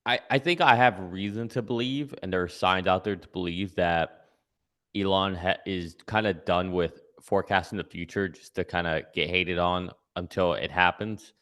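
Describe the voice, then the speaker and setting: Man, very low voice, guest commentator, casual analysis, explanatory, often tags points with "right?". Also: steady tone, normal speed, fairly bright tone. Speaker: guest commentator giving predictions.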